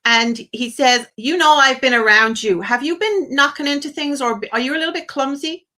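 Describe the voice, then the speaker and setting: Bright, high-voiced woman medium. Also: moderate pace. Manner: effusive, uses endearments and blessings; relays messages in quoted asides. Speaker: psychic medium, phone-in host. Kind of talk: live spirit medium reading for a caller.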